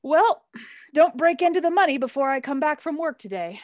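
A woman's voice: sits high, lively intonation, a little fast, no room sound. A woman playing a playful, sarcastic grown son in a radio play. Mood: wry, playful. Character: Herbert, teasing grown son in a family.

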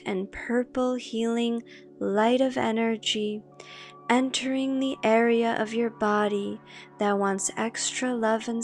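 A woman with a fairly high voice leads a guided meditation. She speaks very slowly and calmly, leaving long pauses between measured phrases. Soft meditation music plays behind her. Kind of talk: slow guided meditation with spoken affirmations.

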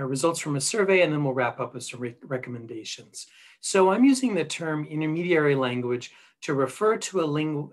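A man's voice: mid-range voice, fairly bright tone. Middle-aged male academic, webcam lecture from a small room, casual explanatory delivery, mid-range voice. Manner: conversational, explanatory, with frequent ums and self-corrections. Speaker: linguist giving a conference talk. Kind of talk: recorded presentation over slides. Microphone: webcam at desk.

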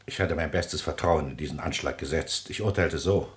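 A man's voice: rumbling low, moderate pace. A man acting a haughty, scheming court president and powerful father in a 1950s German radio drama, with a weighty, imperious, theatrical delivery. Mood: haughty, brooding.

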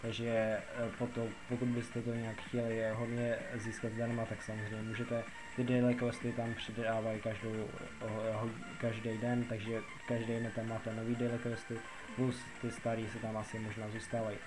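A man's voice: fairly low pitch, monotone, noisy background. A young adult Czech man giving a casual game tutorial, explaining in a rambling, repetitive, colloquial way. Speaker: gaming video host.

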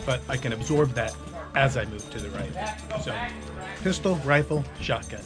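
A man's voice: medium pitch, animated, moderate pace. A middle-aged man, a competitive shooter, casually explaining to the camera at an outdoor range. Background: loud music.

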